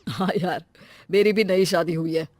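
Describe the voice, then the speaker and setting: A woman's voice: fairly low pitch, steady tone, normal speed, noisy background, close to an everyday voice. A woman voicing a frank, confident young married man in a family moral story, casual and matter-of-fact.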